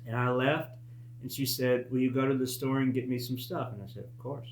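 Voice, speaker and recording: A man in his late thirties telling a personal story casually, in a halting, reflective and earnest way. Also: medium pitch, level tone. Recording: echoey room with faint hum.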